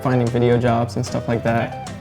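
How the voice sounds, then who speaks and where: A young man with an American accent talks casually about himself. His medium-pitched delivery stays quite level, with music clearly audible behind it. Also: completely flat delivery, moderate pace. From Indiana, USA. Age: early 20s. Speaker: dating show contestant, student. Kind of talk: casual introduction.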